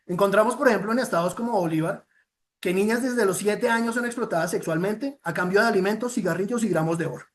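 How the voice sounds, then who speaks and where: A man's voice: high voice, fast. A man with a Colombian accent giving a formal, earnest presentation on a report, with frequent "eh" fillers and restarts. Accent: Colombian Spanish. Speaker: presenter of a child protection report.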